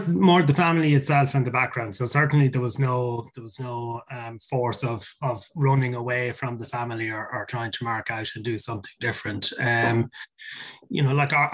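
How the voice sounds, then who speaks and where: Irish man, medium pitch, thinking aloud. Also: a little fast, low-quality recording. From Ireland. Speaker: interview guest, company executive. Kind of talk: informal reflective interview answers.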